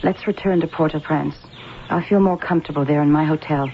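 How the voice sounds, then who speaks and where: A frightened young woman in an old-time drama, anxious and insistent. Her voice has some creak, and the old recording is muffled with a faint hiss behind it. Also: low-pitched, fairly even intonation, brisk. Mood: distressed. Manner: anxious, pressing with questions. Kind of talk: old radio drama acting.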